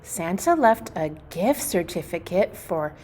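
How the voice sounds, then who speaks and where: A woman reading a storybook aloud to children, expressively and deliberately, phrase by phrase. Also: on the low side, sing-song, darkish tone, a little hiss or hum.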